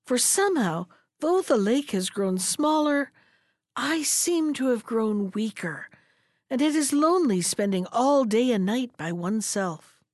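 A woman's voice: on the high side, lively intonation, measured pace, no room sound, clean studio sound, pitched above the performer's own voice. A woman voicing an old, lonely male turtle who speaks in a shaky, pleading way in a storybook reading.

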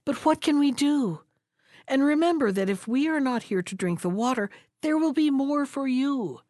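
A woman's voice: high voice, expressive, normal speed, a little mellow, no room sound, studio quality, voice pushed up. Woman playing a sorrowful, earnest duck. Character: sorrowful wild duck, gentle and anxious.

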